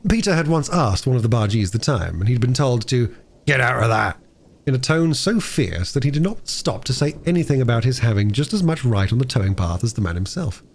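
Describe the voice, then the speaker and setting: A man reads a children's storybook aloud. His mid-pitched voice swings widely and expressively as he narrates. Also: big pitch swings, a little fast, hardly any pauses, a little mellow. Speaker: audiobook reader.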